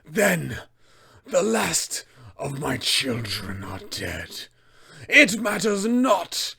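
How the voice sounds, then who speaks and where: A man voicing an insane, imperious old dwarf tyrant for a tabletop game, raspy and gravelly, with a grandiose, menacing delivery. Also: a little high, huge pitch swings, sharp tone, voice pushed up, full character voice. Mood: brooding, scheming. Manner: slow, grandiose, menacing, theatrical. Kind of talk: villain monologue for a tabletop game.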